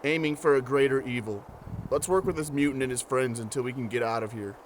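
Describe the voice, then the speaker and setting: A man playing a pragmatic, gruff, exasperated soldier in an audio drama, with light ambient noise behind. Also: mid-range voice, quick pace, lightly acted. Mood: urgent, reasoning. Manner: tense, swearing, reasoning. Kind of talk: post-apocalyptic audio drama acting.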